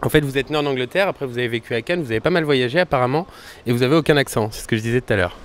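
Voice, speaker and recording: Quick-talking young interviewer. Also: male voice, medium pitch, slight background noise. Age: young adult. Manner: quick, friendly questioning, restarts himself. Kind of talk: casual interview questions. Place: outdoors at a summer concert event.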